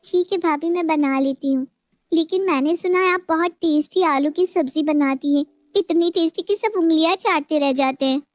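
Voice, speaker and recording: A woman playing a sly, lazy younger daughter-in-law in an animated moral story, wheedling and petulant. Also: sits high, normal speed, dry recording, close to an everyday voice. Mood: sweetly flattering.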